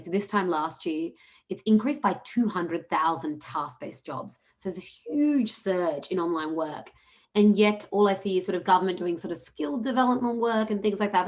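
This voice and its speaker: A woman, a native Punjabi speaker raised in Australia, presenting her program in an online talk, earnest and fast-flowing with frequent self-corrections. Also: fairly low pitch, dull audio. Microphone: video call.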